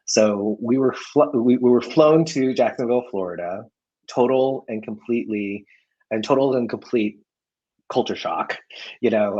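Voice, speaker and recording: A man's voice: medium pitch, darkish tone, lo-fi sound. A man raised in the United States, answering interview questions in a hesitant way with many restarts and fillers. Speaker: guest filmmaker.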